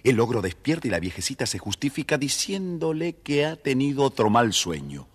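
A man with a husky voice, narrating a scripted children's story. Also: mid-range voice, very animated, moderate pace.

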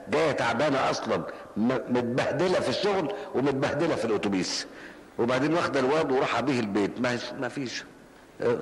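A man's voice: mid-range voice, in a large hall, rough recording. An older man with an Egyptian Arabic accent, giving a colloquial, story-driven lesson in a mosque and repeating phrases for emphasis. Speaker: preacher. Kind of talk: mosque lesson answering questions. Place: mosque hall.

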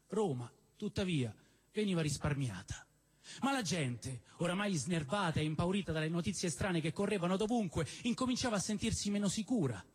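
A man reads a novel aloud on stage. His voice sits a little high for a man. He tells the story theatrically, leaning on key phrases and leaving deliberate pauses. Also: slightly rough. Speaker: storyteller reading literary prose at a live show. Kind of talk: expressive dramatic reading of a novel. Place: live stage at a celebration event in a cinema venue.

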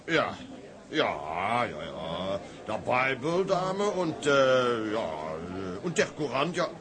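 An actor playing an awkward, taciturn sea captain, halting and repeating himself in a period drama. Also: male voice, mid-range voice, very animated. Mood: flustered, halting. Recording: off-mic amid party crowd chatter.

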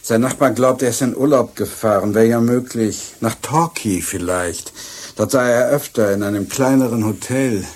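Man playing composed police inspector, husky mid-pitched voice, wry, probing, courteous, radio crime drama. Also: moderate pace, a little mellow, close to an everyday voice. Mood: measured, businesslike. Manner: probing, hesitant interjections, dryly courteous.

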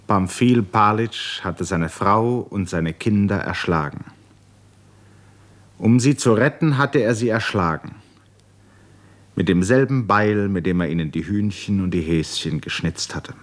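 Grave, very deep-voiced male narrator. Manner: grave, measured, deliberate short phrases. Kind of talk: scripted literary radio drama narration.

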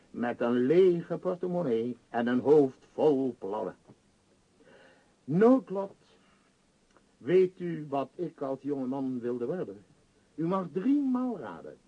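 Husky, imperious tycoon. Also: male voice, mid-range voice, very animated, takes it slowly, pauses often, mellow, no room sound, full character voice. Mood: reminiscing. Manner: hesitant, rambling, bossy, self-important. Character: imperious, absent-minded billionaire tycoon, no longer young. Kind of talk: radio play acting. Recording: muffled, dull radio play recording.

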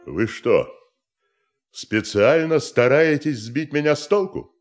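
An actor in a radio play playing a stern, suspicious army general with a clipped, officious, interrogating manner. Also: male voice, medium pitch, expressive, slow pace, soft background music. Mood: suspicious, exasperated. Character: stern, suspicious male army general guarding his country.

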